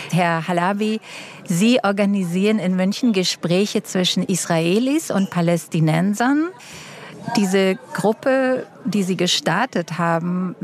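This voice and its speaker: A woman moderating a broadcast interview, asking clear, composed and formal questions. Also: mid-range voice.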